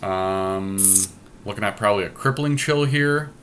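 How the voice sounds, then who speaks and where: A man's voice: lowish voice, a little slow, fairly bright tone, a little hiss or hum. A man giving casual strategy commentary as a podcast host during a card game draft, deliberating aloud as he goes.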